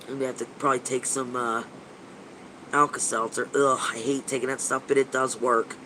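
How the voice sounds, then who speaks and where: A man's voice: medium pitch, flat delivery, brisk, sharp tone, a little hiss or hum, poor audio. A man in his fifties with a stuffy, nasal voice, rambling and gushing in a casual live chat with viewers as a paranormal investigator, swearing now and then. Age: 50s.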